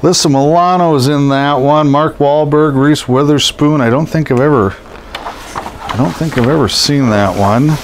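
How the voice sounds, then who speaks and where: A man's voice: a little high, normal speed. Stuffed-up middle-aged guy with a scratchy cold voice, rambling with lots of uhs, faint hiss behind. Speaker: collectibles seller. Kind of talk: casual show-and-tell narration. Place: indoors over boxes of posters.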